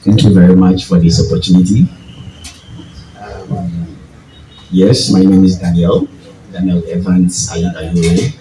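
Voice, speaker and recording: A man with a deep voice and a Ghanaian accent gives a public talk, deliberately, in short phrases and questions to the audience. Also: flat delivery, mellow. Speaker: guest speaker, farming group leader. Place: event venue with audience. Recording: distant, echoey hall with audience noise.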